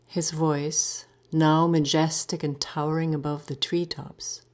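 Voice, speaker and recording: A woman narrating a story in the first person, deliberate and theatrical, sometimes dropping to a hush. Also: low voice, level tone. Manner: deliberate, theatrical, breaking into hushed phrases.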